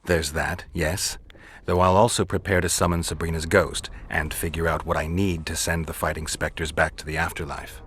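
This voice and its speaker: Casting: laconic fantasy mercenary for a game, gruff growl, low register, flat delivery. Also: male voice, moderate pace, a little hiss or hum. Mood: dry, commanding. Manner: terse, flat, unbothered. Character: gruff, laconic, world-weary male monster-hunting witcher. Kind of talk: video game dialogue acting.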